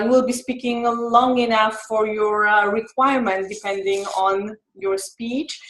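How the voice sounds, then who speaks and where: A woman explaining her timer role at an online meeting, halting with many fillers. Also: on the high side, fairly bright tone, roomy sound, poor audio. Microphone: video call.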